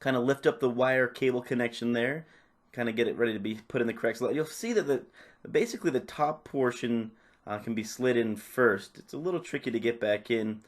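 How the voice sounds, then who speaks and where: A man narrating a step-by-step tutorial off the cuff, in a casual, instructional way with softened phrasing. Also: medium pitch, brisk. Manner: casual, instructional, hedging with "kinda" and "a little bit". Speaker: repair tutorial presenter.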